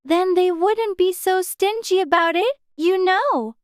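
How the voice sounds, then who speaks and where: A tiny, very high little girl's voice for a storybook audiobook. She sounds earnest, curious and polite, with a childlike way of speaking. The recording is dry and close. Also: measured pace, no echo. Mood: musing, pleased. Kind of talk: dramatized storybook audiobook acting.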